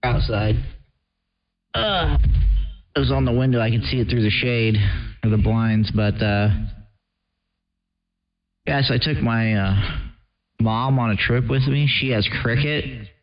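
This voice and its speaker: A man's voice: mid-range voice, a little slow, long pauses. An American man chatting casually and laconically over CB radio in a laid-back way. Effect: CB radio transmission. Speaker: CB radio operator. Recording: muffled, noisy CB radio with clicks.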